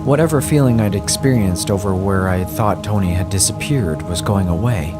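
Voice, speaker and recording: A man reading a scripted first-person horror story in a measured, grave way. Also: deep voice. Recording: music bed under the voice.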